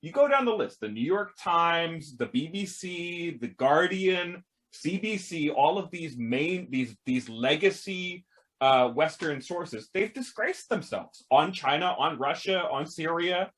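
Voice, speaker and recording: A man's voice: on the high side, lively intonation, unhurried, fairly bright tone. A man hosting a casual video-call panel discussion through a headset, speaking emphatically and laying out his points one by one. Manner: emphatic, lists points, invites others to join.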